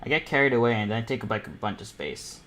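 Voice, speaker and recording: A man's voice: fairly low pitch, very animated, fast talker, fairly bright tone. A young man hosting an online art panel, chatting casually and thinking aloud as he draws. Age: teens or young adult. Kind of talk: casual chat while drawing. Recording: clear background noise, room ambience.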